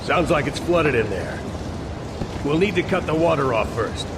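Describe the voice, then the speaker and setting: A man's voice: a little high, expressive, normal speed, loud music behind the voice. An actor playing a tough, wry male soldier in an action video game, talking in terse, commanding phrases. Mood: tense.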